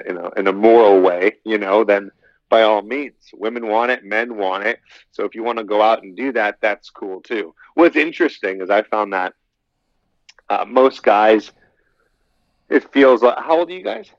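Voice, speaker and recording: Man in his thirties, low and dry. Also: no echo. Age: 30s. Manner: talkative, rambling, repeats phrases. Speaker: podcast guest, dating coach. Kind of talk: casual podcast interview. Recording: dull, slightly lo-fi, dry sound.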